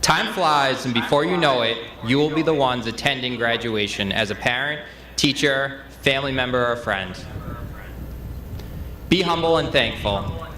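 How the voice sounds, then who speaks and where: A man's voice: medium pitch, moderate pace, pushed and bright. Echoey man giving a commencement speech. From Massachusetts, United States. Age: 30s. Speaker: high school principal. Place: large graduation ceremony venue, amplified speech. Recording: echoey, noisy public address at ceremony.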